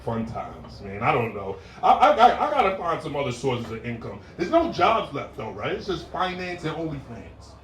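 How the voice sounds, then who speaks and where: A man in his forties doing stand-up on a club stage, relaxed and conversational as he tells his stories. He picks up energy and shouts when he acts out characters. The sound is roomy and picked up from some distance away. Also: medium pitch, moderate pace, hardly any pauses, a little hiss or hum. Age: 40s. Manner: laid-back storytelling, bursts into loud shouted impressions. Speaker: stand-up comedian. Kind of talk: stand-up set.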